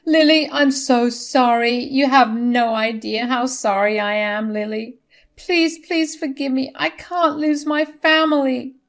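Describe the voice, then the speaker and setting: A woman's voice: medium pitch, normal speed, hardly any pauses, natural voice. A woman voicing a manipulative, desperate older mother who pleads and protests dramatically in a story. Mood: tearful apology.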